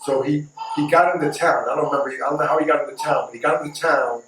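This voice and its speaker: Echoey, higher-voiced man telling a story. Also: fairly even intonation, fast. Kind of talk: casual anecdotal storytelling. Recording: echoey, distant mic, faint noise.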